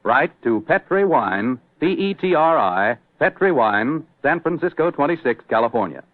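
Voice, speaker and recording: A man's voice: on the high side, big pitch swings, moderate pace, no echo, phone-quality sound. An American man working as a radio announcer and host, with folksy, polished salesmanship in a 1940s broadcast style. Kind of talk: 1940s radio sponsor pitch and hosting. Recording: thin, band-limited 1940s radio recording.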